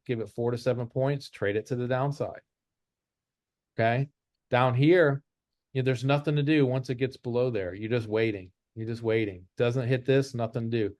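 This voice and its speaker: A man's voice: medium pitch, a little mellow, dry recording. Man teaching informally, close dry sound. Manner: explanatory, repeats step-by-step rules. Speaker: trading instructor. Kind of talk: informal chart-based lesson.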